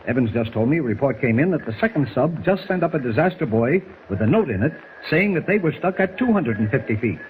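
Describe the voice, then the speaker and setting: An actor playing a brisk, gruff, decisive newspaper editor in 1940s radio drama style, brusque and emphatic, on a thin, hissy old recording. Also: male voice, mid-range voice, sing-song, phone-quality sound, lightly acted.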